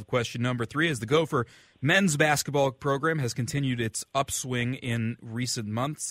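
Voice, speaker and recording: A man's voice: medium pitch, a bit bright, dry recording. An American man hosting a sports radio show, setting up questions for panelists in an informal, explanatory, conversational way.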